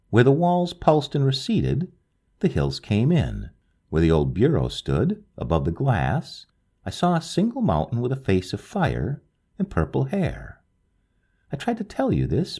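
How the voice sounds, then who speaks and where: A man reading a literary story aloud in a slow, solemn, dreamlike way. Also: a little mellow, slightly rough. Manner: slow, solemn, dreamlike delivery with wide swings in pitch. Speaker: audiobook narrator.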